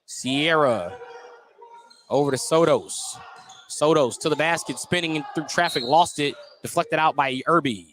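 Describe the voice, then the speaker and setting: A man doing live play-by-play radio commentary of a high school basketball game, descriptive and excited on the plays. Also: a little slow, slight background noise. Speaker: play-by-play sports announcer.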